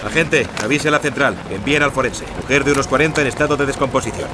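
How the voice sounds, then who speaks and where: An actor playing a stern, commanding police detective with a Spanish accent in an audio drama. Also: male voice, mid-range voice, fast talker, close to an everyday voice. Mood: disgusted, grim. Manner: commanding, blunt, disgusted. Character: stern, gruff male police detective pressing a suspect. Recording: noisy outdoor scene with vehicle sounds.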